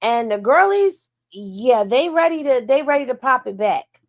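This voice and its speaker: A woman's voice: high voice, expressive, moderate pace. A woman hosting a livestream, chatting casually in with a drawling, folksy way of gossiping. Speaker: livestream host.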